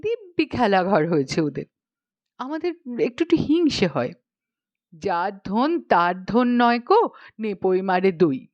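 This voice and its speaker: A woman reads a humorous tale in an expressive audiobook style. Her voice sits at an ordinary female pitch and she leaves deliberate, dramatic pauses between phrases. The sound is dry, with very little room in it. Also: no echo, dull audio. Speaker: audiobook narrator. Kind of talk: expressive storytelling narration of a humorous short story.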